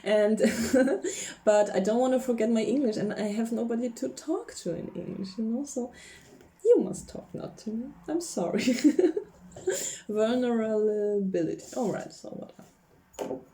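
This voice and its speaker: A woman's voice: a little high, a little slow, mellow. A woman from Poland, chatting casually through a tarot card reading, laughing often and searching for English words. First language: Polish. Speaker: tarot reader.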